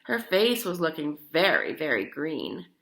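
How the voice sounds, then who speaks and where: A woman reads a picture book aloud for children. Her voice is bright and very animated, and she is theatrical and emphatic, dropping in chatty asides. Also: deep voice, measured pace, creaky, low-quality recording. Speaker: storybook reader.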